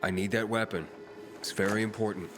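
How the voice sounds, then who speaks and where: A man's voice: on the low side, fairly even intonation, fairly quick, lightly acted. An actor playing a determined young wanderer in a video game, casual but firm as he questions people. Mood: matter-of-fact. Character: determined young male wanderer, probing, streetwise hero. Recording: music behind the voice.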